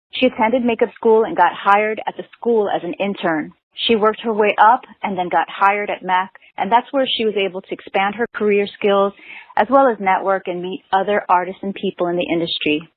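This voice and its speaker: A woman's voice: medium pitch, moderate pace, dry recording. A woman hosting a podcast. She reads a guest introduction, then asks playful questions and draws some of them out. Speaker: podcast host. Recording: dry, phone-like narrow sound.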